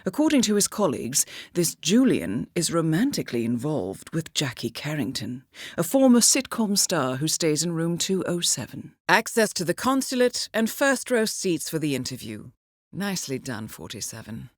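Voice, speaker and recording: A cool intelligence handler briefing an assassin in a spy game. Her voice moves with expressive, varied intonation while staying composed and clipped, with wry understatement. The sound is close and dry. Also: sits low, normal speed, dry recording. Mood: quietly satisfied. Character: poised, dry-witted female intelligence handler guiding an assassin. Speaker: mission handler. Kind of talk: scripted game briefing dialogue.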